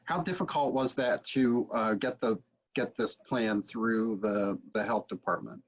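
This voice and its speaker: A man in his forties asking casual questions as an interviewer on a video call, in a halting way with restarts. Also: lowish voice, muffled recording. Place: book-lined room at home.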